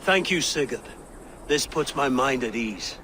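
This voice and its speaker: A man's voice: mid-range voice, expressive, a little slow, bright, forceful tone, slight background noise. A middle-aged actor playing a solemn new king and father speaking formally. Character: newly crowned king, grave father.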